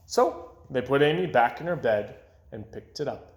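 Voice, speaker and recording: A man reading a children's picture book aloud as an animated storyteller. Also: medium pitch, moderate pace, gravelly, slight background noise. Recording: roomy, echoing sound.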